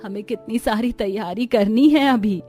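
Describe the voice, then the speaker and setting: A woman's voice: a little high, normal speed, dark tone, faint music behind, close to an everyday voice. A woman playing a fond, sentimental elderly village grandmother in a cartoon story. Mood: happy anticipation.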